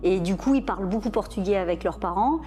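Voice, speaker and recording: A woman's voice: mid-range voice, expressive, fast talker, dark tone. A woman in a documentary interview, recalling her family's history in a conversational way. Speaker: interviewee, child of immigrants.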